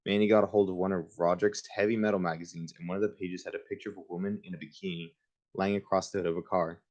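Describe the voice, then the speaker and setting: A man's voice: low voice, flat delivery, fast, a little mellow. A man reading a children's book aloud in an informal way, with casual asides of his own. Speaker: reader hosting a book livestream.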